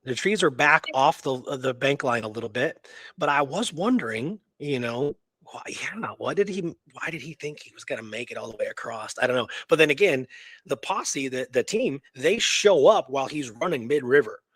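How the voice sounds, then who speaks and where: A man's voice: medium pitch, lively intonation, moderate pace, fairly bright tone, husky, dry recording. A man hosting a talk show, telling a historical story in a casual, colloquial, earnest and engrossed way. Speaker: radio show host telling a true story.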